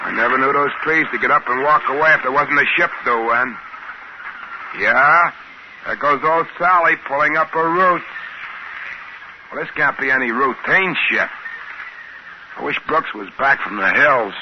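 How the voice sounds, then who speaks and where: A man's voice: medium pitch, moderate pace, long pauses, close to an everyday voice. Male actor as a folksy, easygoing space-outpost trader for vintage radio drama; plain-spoken, muffled, lightly hissy sound. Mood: puzzled. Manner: folksy, colloquial, ungrammatical. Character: folksy, easygoing man running an alien trading post. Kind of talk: 1950s radio drama acting, first-person narration and dialogue. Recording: dull, hissy 1950s radio recording.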